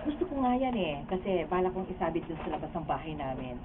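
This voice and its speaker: A woman's voice: lowish voice, big pitch swings, fast talker. A woman with a Filipino accent playing an admiring, sociable house guest in a radio drama rehearsal. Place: radio recording studio. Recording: tinny, band-limited studio footage with faint noise.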